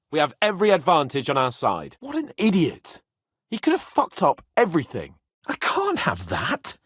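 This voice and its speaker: A man's voice: on the high side, fairly bright tone, no echo, clean studio sound, heavily acted. A man playing a cocky, hot-tempered young medieval nobleman in a video game, loyal but boastful, with a swaggering, teasing manner. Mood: indignant, exasperated, then uneasy, subdued. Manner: swaggering, teasing, colourful swearing. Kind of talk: video game character acting.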